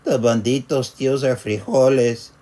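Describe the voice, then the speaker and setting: A man voicing an earnest, dramatic little male chihuahua with emphatic, drawn-out delivery and mock-Spanish words. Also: medium pitch, fairly even intonation, dark tone, lightly acted. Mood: earnest complaint.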